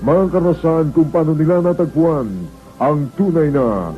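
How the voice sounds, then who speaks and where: A man's voice: fairly high pitch, moderate pace. A man reading solemn, scripted narration as the announcer of a radio program. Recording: dull lo-fi radio recording. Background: music.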